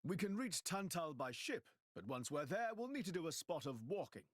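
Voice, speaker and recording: A man's voice: on the high side, big pitch swings, normal speed, dry recording, clean studio sound. An actor playing a swaggering, boastful young man in a game cutscene, breezy and colloquial. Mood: matter-of-fact.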